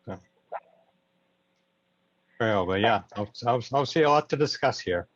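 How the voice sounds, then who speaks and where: A man's voice: mid-range voice, expressive, measured pace, no echo. A man chairing an informal online meeting, hesitant and often searching for his words, heard over a video call. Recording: dull, dry video-call audio.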